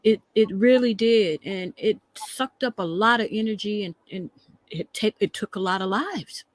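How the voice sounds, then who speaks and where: Reaction show co-host, medium pitch, emphatic and repetitive, conversational, very dry recording. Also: moderate pace, dry recording.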